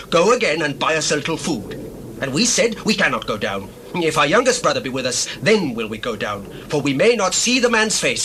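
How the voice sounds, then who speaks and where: Anguished biblical supplicant, highish animated voice, earnest formal pleading, audio drama, noisy old recording. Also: male voice. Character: anguished, humbly pleading Hebrew brother begging his lord. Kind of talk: dramatized scripture reading, radio-play acting.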